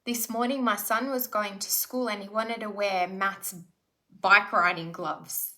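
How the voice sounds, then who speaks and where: A middle-aged woman runs a live self-development session online. Her voice is bright and forward. She speaks conversationally and keeps pulling listeners in with rhetorical questions and quick check-ins. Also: mid-range voice, fairly even intonation. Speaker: spiritual life coach. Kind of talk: live online workshop talk.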